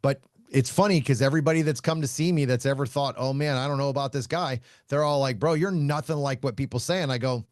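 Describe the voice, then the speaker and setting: A man who hosts a podcast and teaches shooting, talking casually in a loud voice, blunt, laughing often and swearing freely. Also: mid-range voice, quick pace, hardly any pauses, no room sound, studio quality. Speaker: shooting instructor and podcast host.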